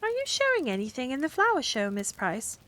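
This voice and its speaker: A woman's voice: high-pitched, big pitch swings, fairly quick, voice pushed up, heavily acted. A woman playing a curious, persistent young girl. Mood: eager, curious. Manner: eager, wheedling questions.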